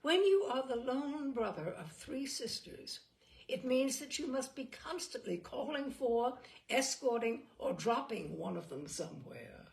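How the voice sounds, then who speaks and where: Woman storyteller with very lively pitch. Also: a little slow, gravelly. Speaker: storyteller, audiobook reader. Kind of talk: expressive literary story narration.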